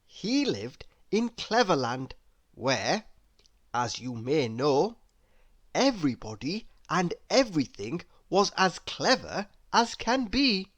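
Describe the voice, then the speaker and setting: Slow, expressive woman reading a storybook. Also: low-pitched. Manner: expressive, addresses young listeners with questions. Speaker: storybook reader. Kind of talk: children's read-aloud narration.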